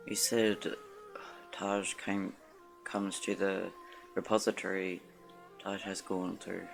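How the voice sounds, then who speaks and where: A man live streaming a video game with casual, low-key commentary. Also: flat delivery, takes it slowly, vocal fry, low-quality recording. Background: game music.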